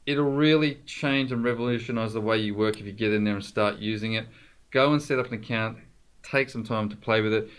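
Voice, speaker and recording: A man with a fairly low voice walks through software as a tutorial presenter. His delivery is informal and explanatory, talking straight to the viewer as he goes. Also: brisk, clear, resonant tone.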